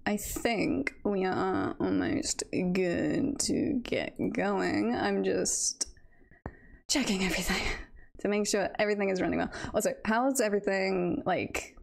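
A woman's voice: mid-range voice, lively intonation, measured pace, creaky. A woman chatting casually as she hosts a livestream, with a nervous, giggly manner, speaking close to a streaming mic. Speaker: streamer hosting a first livestream.

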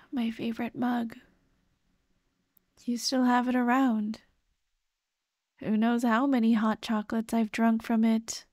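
A high, very dry and close voice of a woman acting as a dead girlfriend's ghost in an ASMR roleplay. She speaks slowly and tenderly, full of grief, gently pleading and comforting the one she left behind. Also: level tone, no room sound. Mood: wistful, tender. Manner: slow, tender, sighing, pleading.

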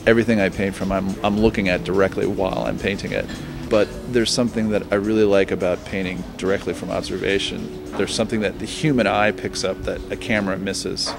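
Music plays clearly as a man of about forty talks in an interview. His voice is fairly low with a lot of fry in it. He speaks casually and thoughtfully, stopping and starting as he explains his painting. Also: fairly even intonation, a little fast. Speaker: painter and art teacher. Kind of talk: casual on-camera interview about own work. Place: busy restaurant during an art reception.

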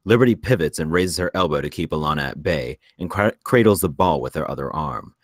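A man's voice: rumbling low, animated, a little fast, dry recording, studio quality. A man narrating stage directions from a script in a plain reading style.

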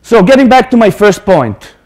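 A man's voice: high-pitched, big pitch swings, moderate pace, darkish tone. Israeli man giving a conference talk. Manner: earnest, explanatory, repeats words when restarting. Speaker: conference speaker. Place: lecture hall stage. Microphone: podium microphone.

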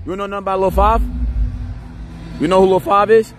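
A young American man chatting in slangy, animated banter as a live streamer out on a city street. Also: high-pitched, quick pace. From Newark, New Jersey, USA. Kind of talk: casual street banter on a livestream. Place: city sidewalk at night, outdoors. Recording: outdoor street livestream with music behind.

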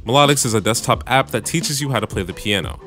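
A man with a bassy voice casually narrating a home recording tutorial, with joking asides, on a studio mic in his home room. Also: fast, fairly bright tone, faint music behind. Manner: laid-back, joking asides. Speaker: music producer and YouTube tutorial host. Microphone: studio microphone through audio interface.

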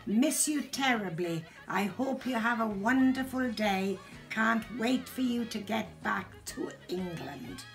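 An older woman recording a lively birthday message at home. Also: on the high side, slow pace, few pauses, soft background music. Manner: lively, bantering.

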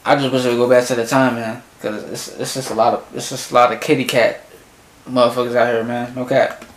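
Young male reaction vlogger, animated and slangy, mid-pitched voice, recorded in a roomy-sounding bedroom. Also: fairly quick. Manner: animated, slangy, talks back to the song. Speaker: music reaction youtuber. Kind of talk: casual reaction commentary. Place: small bedroom in front of a closet door. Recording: echoey room.

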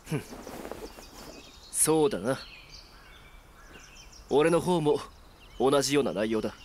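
A man's voice: medium pitch, animated, slow pace, a little mellow. A man playing a cool, resolute young adventurer in a voice drama, terse, dry and composed. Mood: calm, dry. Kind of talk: Japanese voice drama acting.

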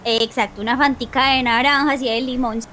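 A woman's voice: high-pitched, fairly even intonation, fairly quick, bright, forceful tone, a little hiss or hum. A woman chatting in character during a game roleplay, affectionate and teasing. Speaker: roleplay player.

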